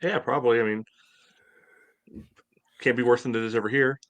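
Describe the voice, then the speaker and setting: A man's voice: medium pitch, fairly even intonation, moderate pace, a bit bright. A middle-aged American man casually analysing sports as a podcast co-host on a video call. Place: room at home, indoors. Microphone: video call from a computer.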